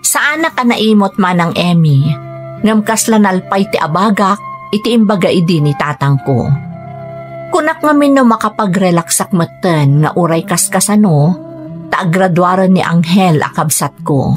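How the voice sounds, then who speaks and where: A woman's voice: on the low side, animated, normal speed, close to an everyday voice. A woman playing a young, dutiful, burdened Filipina daughter working overseas in an Ilocano radio drama, earnest and plaintive, with an Ilocano accent. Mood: troubled, confiding. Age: young adult. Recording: music bed under the voice. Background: music.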